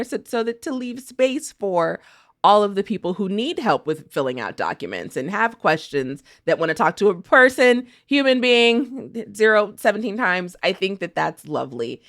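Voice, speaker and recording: Animated woman chatting on a podcast panel. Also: medium pitch, moderate pace. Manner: animated, playful. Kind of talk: casual panel chat.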